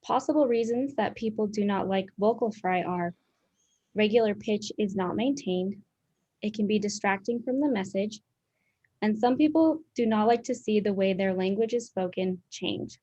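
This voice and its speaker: A young woman student presenting a scripted explanation in a clear, explanatory way. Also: medium pitch, moderate pace, mellow. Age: young adult. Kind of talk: scripted educational narration. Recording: dull lo-fi sound.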